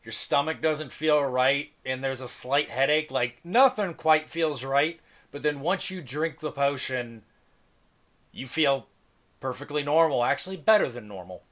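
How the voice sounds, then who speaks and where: A man's voice: mid-range voice, measured pace, fairly bright tone. A man narrating a tabletop role-playing game as the game master.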